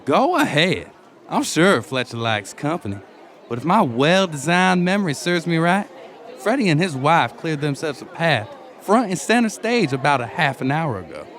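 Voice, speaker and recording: Weaselly doorman, played by a man: high, sharp, whiny and smug, audiobook drama. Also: sing-song, normal speed, a faint crowd. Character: snippy, weaselly male doorman.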